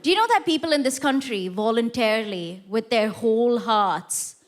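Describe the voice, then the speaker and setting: A woman's voice: on the high side, animated, moderate pace. A woman performing a stand-up comedy set on a theatre stage, telling self-mocking jokes in a chatty, conversational way. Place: theatre stage before a live audience. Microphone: stage mic.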